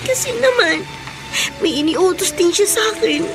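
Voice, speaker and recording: A woman's voice: very high voice, lively intonation, normal speed, over music. A woman with a Filipino accent playing an abused young stepdaughter, plaintive and hurt, in a radio drama. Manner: plaintive, polite, submissive.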